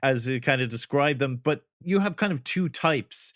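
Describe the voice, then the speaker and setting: A man's voice: mid-range voice, lively intonation, moderate pace, fairly bright tone, no room sound, clean studio sound. A man hosting a podcast interview, putting questions conversationally with somewhat tentative phrasing.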